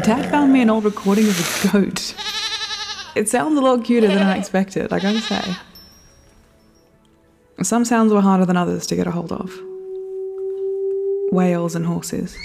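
A woman's voice: medium pitch, natural voice. An actress playing an earnest, wistful woman recording a reflective monologue for posterity in an audio drama. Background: music and layered sound effects.

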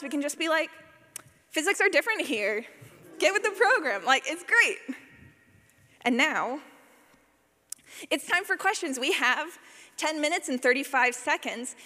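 A woman giving a live workshop talk as a sound designer, animated, playful and informal, acting out examples as she goes. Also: high-pitched, normal speed. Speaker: session presenter, podcast sound designer. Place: large indoor session room. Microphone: presentation microphone. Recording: echoey hall, live presentation.

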